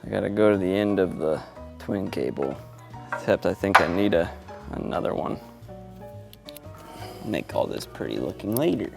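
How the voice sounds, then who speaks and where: A man's voice: low voice, sing-song, normal speed, creaky, long pauses, loud music behind the voice. A laid-back man chatting casually and informally as a sound engineer vlogging his setup and testing microphones. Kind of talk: casual vlog chat with mic checks.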